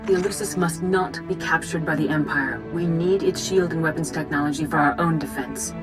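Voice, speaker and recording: An actress playing an authoritative Jedi Master commander in a video game, calm, formal and measured. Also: female voice, low-pitched, music in the background, lightly acted. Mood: grave, resolute.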